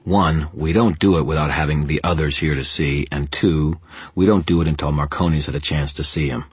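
Guy putting on a gruff gang boss, sandpaper growl, low, calm and talking down to you, audiobook style. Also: no room sound, full character voice. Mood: growling contempt. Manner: measured, condescending.

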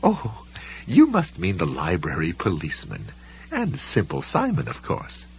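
A man voicing a polite, condescending woman librarian in an audiobook story, with a smooth, quietly menacing manner. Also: sits low, huge pitch swings, measured pace, muffled recording, heavily acted. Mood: breezily polite. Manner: smooth, coolly dismissive, faintly patronizing.

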